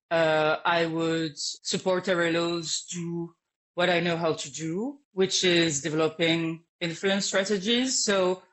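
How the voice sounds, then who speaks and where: A woman who grew up between Lebanon and France speaks as a guest on an interview podcast. She thinks aloud, pausing on fillers and restarting her sentences as she goes. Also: fairly low pitch. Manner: thoughtful, hesitant, many fillers and restarts. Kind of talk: conversational interview.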